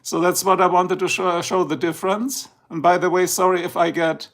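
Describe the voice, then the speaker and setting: A man's voice: high voice, level tone, normal speed, a bit bright. A man from Germany with a German accent, casually chatting on a livestream while showing photos, rambling and a bit drowsy. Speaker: livestream host. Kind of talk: casual live-stream chat. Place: indoors, filming a tablet slideshow.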